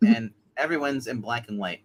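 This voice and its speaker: A man's voice: mid-range voice, expressive, normal speed, poor audio. A man talking casually and jokily as a guest player in a tabletop game, often interjecting, with some noise on his recording. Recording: bright mic with faint background noise.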